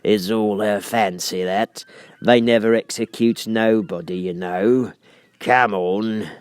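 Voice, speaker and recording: A man's voice: fairly low pitch, steady tone, slow pace, smooth, dry recording. A man voicing an amused, lazy storybook griffin with drawled, folksy, ungrammatical speech. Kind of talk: storybook character voice.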